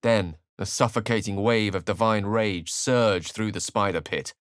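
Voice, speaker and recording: A man's voice: fairly low pitch, a little slow, clear, resonant tone, dry recording, clean studio sound, lightly acted. An actor playing a learned, wry male human wizard in a fantasy video game, speaking in an articulate, theatrical and bookish way. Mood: hushed, grave. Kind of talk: fantasy game character lines and narration.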